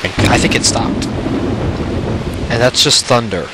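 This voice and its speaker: A man's voice: medium pitch, unhurried, very noisy. A man giving casual, reacting commentary as the host of a gaming video. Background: game music.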